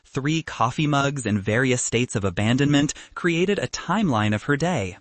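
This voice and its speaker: A man's voice: mid-range voice, animated, normal speed, dry recording. A man narrating scripted comic fiction as an audiobook, with a wry manner.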